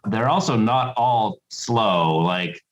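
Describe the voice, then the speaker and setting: A man giving casual interview answers as a game developer, explaining in a halting way. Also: on the low side.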